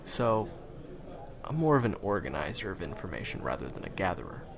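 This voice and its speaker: A man's voice: sits low, big pitch swings, fairly quick. A man playing a friendly, chatty guide to monster hunters in an audio roleplay, explaining things casually and reassuringly straight to the listener. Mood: informative, reassuring. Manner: casual, hesitant asides, explanatory. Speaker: voice roleplay performer. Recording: crowd chatter behind the voice. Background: busy crowd ambience.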